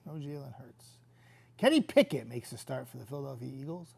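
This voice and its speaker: A man's voice: medium pitch, sing-song, fairly quick, creaky, husky. A young man, a TV weatherman who says he is getting sick and losing his voice, chatting casually with viewers and reading their comments. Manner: chatty, easygoing, trailing off into quiet asides. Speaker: TV meteorologist hosting a viewer chat.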